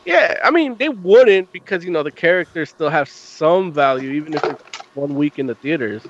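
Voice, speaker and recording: A man in a casual group chat who is excitable and quick to chime in. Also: a little high, slight background noise. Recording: dry, dull lo-fi recording.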